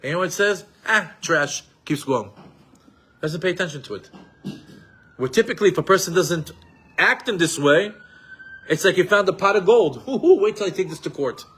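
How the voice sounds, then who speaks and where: A man teaches a Torah class in an animated, emphatic way. His voice sits somewhat high for a man. He quotes Hebrew texts and then explains them in English. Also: normal speed, long pauses, breathy edge. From Israel, raised in New York.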